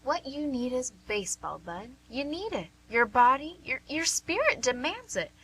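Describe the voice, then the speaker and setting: Woman acting a bright, insistent, husky-voiced nurse. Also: high-pitched, sing-song, normal speed, heavily acted, rough recording. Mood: insistent, exasperated. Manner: persuasive, insistent, folksy. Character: plain-spoken, pushy, caring female nurse coaxing a patient. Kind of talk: amateur radio theatre acting.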